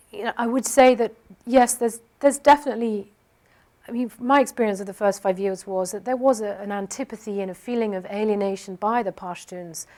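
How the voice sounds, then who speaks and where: A woman's voice: medium pitch, darkish tone. A woman speaking on a panel discussion, answering a question with many hesitations and restarts.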